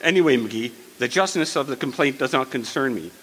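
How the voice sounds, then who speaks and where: An actor playing a pompous, long-winded small-town mayor with formal, wordy speech in an old-style radio comedy. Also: male voice, medium pitch, expressive, brisk, a bit bright, breathy edge. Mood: affronted, officious. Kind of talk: 1940s radio comedy acting, live re-creation.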